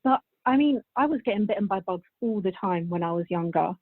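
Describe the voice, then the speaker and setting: A woman's voice: mid-range voice, animated, fairly quick, no echo, narrow, tinny audio. A thirty-year-old woman from Bulgaria who moved to England as a child, chatting casually as a podcast guest. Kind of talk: casual interview chat.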